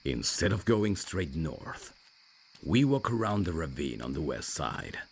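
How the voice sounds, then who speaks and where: An actor playing a composed, dry, protective male vampire with a very deep, gravelly voice in an audio roleplay. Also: big pitch swings, unhurried, a little hiss or hum, full character voice. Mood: measured.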